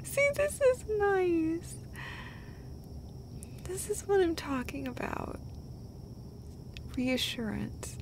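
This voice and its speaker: A woman performing an intimate comfort roleplay as an affectionate girlfriend, speaking tenderly and emotionally in a halting way that often drops toward a breathy whisper. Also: extremely high pitch, huge pitch swings, background noise. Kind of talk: intimate comfort roleplay, acted as one side of a conversation.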